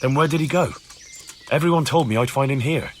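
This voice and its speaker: A man's voice: medium pitch, fairly quick, a little hiss or hum, natural voice. An actor playing a determined young man in a medieval video game, questioning people in an insistent, direct way. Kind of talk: video game cutscene dialogue.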